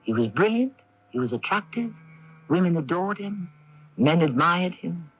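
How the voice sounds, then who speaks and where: A man's voice: high-pitched, sing-song, normal speed, breathy edge. A man hosting and narrating a radio drama in a theatrical, portentous, scripted style. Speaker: host and narrator. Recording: muffled AM radio broadcast tape.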